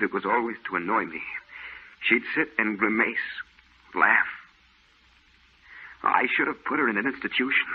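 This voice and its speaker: An actor in an old-time radio drama playing a haunted, guilt-ridden painter, earnest and confessional. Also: male voice, on the low side, breathy edge. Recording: muffled, narrow old radio recording.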